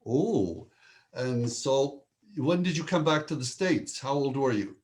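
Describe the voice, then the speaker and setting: A man's voice: mid-range voice, animated, slow, a little mellow. A man hosting a video call, introducing guests with eager praise and asking questions. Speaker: host.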